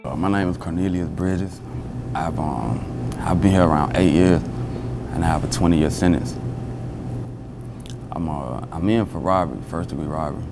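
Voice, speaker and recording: A man's voice: low voice, moderate pace, vocal fry, pauses often, over music. A man, an inmate giving a plain personal interview. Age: 20s. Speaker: prison inmate.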